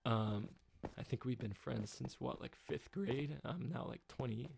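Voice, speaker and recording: A man in his twenties rambling through a personal update, halting with many fillers and restarts, subdued by a rough time. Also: medium pitch, a little mellow. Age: 20s. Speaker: YouTuber.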